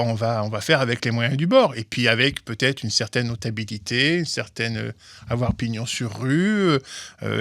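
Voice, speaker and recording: Man, mid-pitched, halting explainer, close dry mic. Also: sharp tone, no echo. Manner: halting, many restarts and repeated words. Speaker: guest, legal commentator. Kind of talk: explanatory interview talk.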